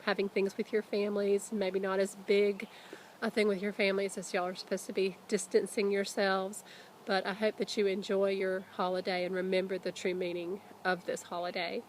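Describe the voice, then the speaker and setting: A woman's voice: mid-range voice, little pitch movement, normal speed, a little hiss or hum. Woman reading a children's story aloud. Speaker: teacher reading aloud to pupils.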